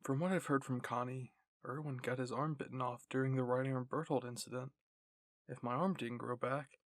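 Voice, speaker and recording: A man reading a scripted first-person story in ASMR style, softly and in measured phrases. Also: mid-range voice, no room sound. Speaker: narrator and reader.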